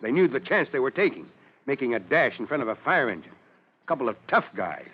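An actor playing a middle-aged fire chief, firm, gruffly supportive and authoritative, in an old radio drama. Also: male voice, medium pitch, big pitch swings, quick pace. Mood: stern, concerned. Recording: muffled old radio recording.

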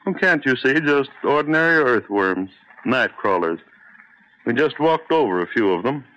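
An actor playing an irritable, domineering husband who scolds impatiently, in old-time radio drama style. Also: male voice, mid-range voice, animated, moderate pace, a bit bright, close to an everyday voice. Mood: scornful. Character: irritable, domineering husband, scornful rose grower. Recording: muffled, noisy old radio recording.

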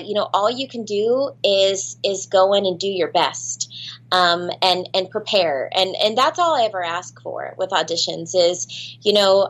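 A woman's voice: mid-range voice, fairly bright tone, poor audio. A woman guest in an interview, chatting casually and laughing at herself, with lots of ums and restarts. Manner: chatty, self-deprecating, many fillers and restarts. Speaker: voice actress guest.

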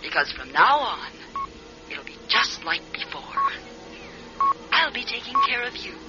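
A woman's voice: mid-range voice, sing-song, measured pace, loud music behind the voice. An actress playing a cunning, controlling psychic woman who commands by telepathy in a radio drama. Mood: scheming, smug. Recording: dull lo-fi radio recording, clear noise.

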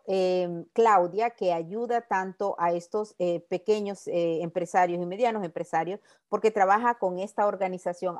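A woman's voice: medium pitch, a little mellow. A woman hosting a radio and podcast interview, upbeat and chatty, slipping English words into her Spanish. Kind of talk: conversational interview.

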